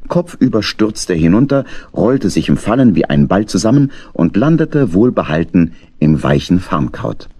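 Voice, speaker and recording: Deep husky male storyteller. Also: big pitch swings, normal speed, hardly any pauses, dark tone, no echo. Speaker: narrator. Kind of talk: dramatic children's story narration.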